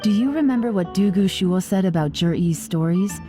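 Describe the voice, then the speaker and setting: A woman's voice: medium pitch, lively intonation, normal speed, natural voice. A woman playing a poised, sly investigator in a video game, speaking in a composed, teasingly formal way. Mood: analytical. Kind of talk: video game character acting. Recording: faint music behind the voice. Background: faint game music.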